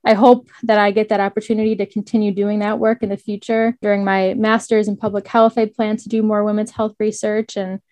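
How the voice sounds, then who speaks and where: A woman's voice: medium pitch, flat delivery, brisk, hardly any pauses, a little mellow, no echo. Earnest young woman, dry close sound. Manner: earnest, reflective, slightly hesitant. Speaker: student guest. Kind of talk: personal story in an interview.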